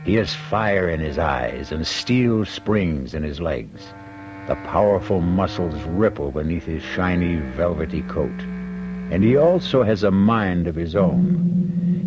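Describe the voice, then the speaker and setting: A man hosting an old-time radio drama with deliberate, evocative scripted narration. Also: low-pitched. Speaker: host and narrator. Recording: dull vintage radio recording. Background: prominent music.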